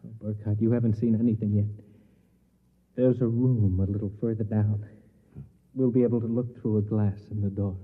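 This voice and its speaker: Actor as jittery, paranoid American conspirator, rough-edged voice, thin vintage radio sound. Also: male voice, on the low side, fairly even intonation, moderate pace, lightly acted. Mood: secretive. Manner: hesitant, secretive, earnest. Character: nervous, furtive, paranoid man whispering of conspiracy. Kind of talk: old-time radio drama acting. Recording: tinny, band-limited vintage radio recording.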